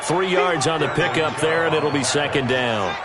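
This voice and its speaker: Actor as keen American football announcer over loud noise. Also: male voice, fairly high pitch, moderate pace, over a noisy crowd, close to an everyday voice. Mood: focused. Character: male TV football play-by-play announcer, keen and polished. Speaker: play-by-play sports commentator. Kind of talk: American football game commentary. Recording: loud stadium noise behind. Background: loud ambient stadium noise.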